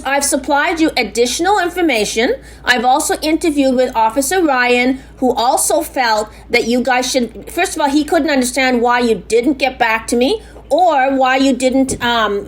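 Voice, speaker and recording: A high-voiced woman on a phone call who speaks loudly by nature. She presses her complaint insistently, circling back on her points and pushing past interruptions. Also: normal speed, few pauses. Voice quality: loud voice. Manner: insistent, repeats herself, talks over the other side. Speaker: caller making a complaint. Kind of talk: heated phone argument.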